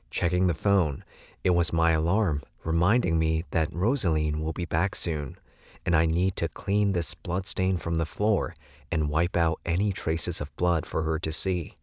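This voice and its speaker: A man's voice: low voice, fairly even intonation, unhurried, dry recording, dull audio. A man reading scripted first-person fiction as an audiobook narrator.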